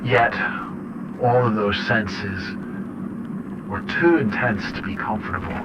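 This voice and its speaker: A man playing an unsettled father who records a horror audio diary, speaking in deliberate, reflective and halting phrases. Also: lowish voice, fairly even intonation. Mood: disturbed, intense. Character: unsettled father recording eerie journal notes. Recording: muffled, noisy radio-like audio log.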